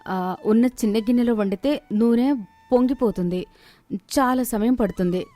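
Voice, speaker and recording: A woman's voice: on the high side, normal speed, dark tone, dry recording, soft background music, close to an everyday voice. A woman voicing a caring, practical village mother, homely and concerned as she instructs her family.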